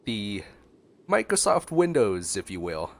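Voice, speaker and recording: A man's voice: lowish voice, sing-song, unhurried, creaky. A man with a congested voice from a cold, giving casual, joking reaction commentary as he streams a game. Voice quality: stuffy, congested. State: getting over a cold.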